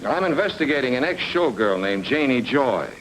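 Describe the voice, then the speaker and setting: Wisecracking noir detective, swinging pitch. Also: male voice, sing-song, normal speed, fairly bright tone, a little hiss or hum, rough recording. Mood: probing. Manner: sardonic, dry, slangy. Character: wisecracking, hard-boiled male private investigator. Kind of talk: film noir radio drama acting.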